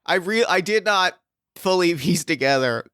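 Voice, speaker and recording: A man with a clear, slightly high voice, recorded close and dry. He hosts a tabletop game show in an effusive, casual way, often doubling back on his phrases. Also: expressive, bright, forceful tone, no echo. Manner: effusive, halting with repeated starts. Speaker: podcast host and game master. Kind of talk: casual excited chat.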